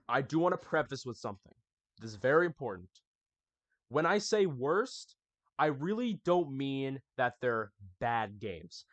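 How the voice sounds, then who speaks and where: A man's voice: mid-range voice, a bit bright, no room sound. Chatty young man hosting a gaming channel. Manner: chatty, addresses viewers as y'all. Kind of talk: casual scripted anniversary recap.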